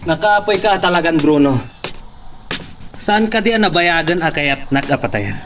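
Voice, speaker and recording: Casting: male actor as bickering hired man, lightly high voice, a little far from the mic with faint noise. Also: lively intonation, moderate pace, fairly bright tone. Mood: taunting. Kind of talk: Ilocano radio-style audio drama acting.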